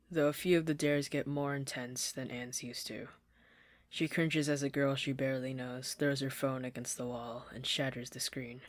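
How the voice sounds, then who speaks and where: A steady, soft-spoken podfic narration, read close into a desktop mic. The sound is dry with no room around it. The pitch sits in the middle. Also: no room sound. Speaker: fan fiction reader. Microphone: desktop condenser mic.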